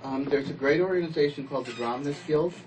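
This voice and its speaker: A man's voice: fairly high pitch, fast talker, darkish tone, distant mic, a little hiss or hum, low-quality recording. A man being interviewed on radio as a playwright and producer, explaining things casually with examples.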